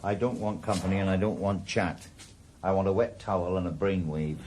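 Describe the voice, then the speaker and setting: An older actor playing a sardonic retired ex-detective in a radio drama. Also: male voice, deep voice, fairly even intonation, a little fast, darkish tone, slight background noise, close to an everyday voice. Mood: wry. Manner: dry, sardonic. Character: weary, wry retired male ex-detective.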